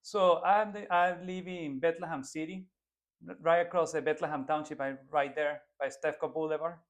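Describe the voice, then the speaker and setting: A man's voice: fairly high pitch, fairly even intonation, normal speed. A man in his twenties from Venezuela, a native Spanish speaker with a Venezuelan accent, answering interview questions earnestly with frequent restarts as a political candidate.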